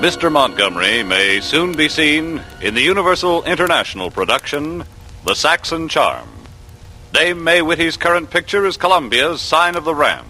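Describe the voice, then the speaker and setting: An American man reading scripted credits as a 1940s network radio announcer, with a husky edge to his voice. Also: a little high, animated, normal speed, sharp tone, faint music behind, rough recording.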